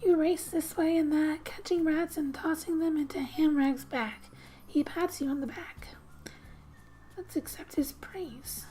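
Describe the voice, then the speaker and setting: A soft-spoken woman reading game text aloud in a gentle, hushed ASMR style with musing asides, often slipping into a whisper. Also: high voice, fairly even intonation, unhurried, a little hiss or hum, low-quality recording. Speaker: gaming ASMR host.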